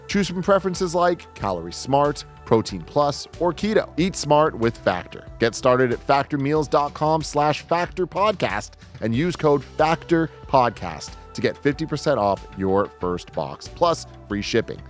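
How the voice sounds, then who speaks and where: Guy doing a podcast sponsor read, medium pitch, bit of music behind him. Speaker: podcast host reading sponsor ads. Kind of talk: scripted ad read. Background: background music.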